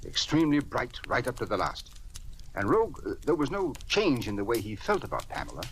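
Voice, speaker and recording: A man's voice: mid-range voice, very animated, brisk, a bit bright. An actor playing an earnest, troubled older male judge with a hesitant, formal manner in a 1940s radio drama. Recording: noisy old radio recording.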